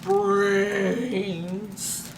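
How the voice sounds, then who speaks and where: Woman doing a slow, moaning storybook zombie. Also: medium pitch, little pitch movement. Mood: hungry moaning. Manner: drawn-out moaning. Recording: distant microphone with faint background noise.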